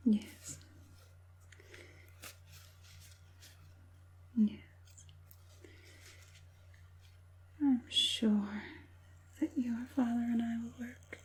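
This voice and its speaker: A woman voicing an evil witch puppet master in a whispered ASMR roleplay, coaxing and falsely caring, slow and deliberate in a breathy hush. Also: on the high side, heavily acted. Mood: sly, coaxing. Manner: slow, deliberate, soothingly menacing.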